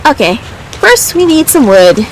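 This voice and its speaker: A woman's voice: high-pitched, sing-song, measured pace, a little hiss or hum, rough recording. A woman casually commentating on her video game playthrough and reading game text aloud. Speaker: gamer playing and reading aloud.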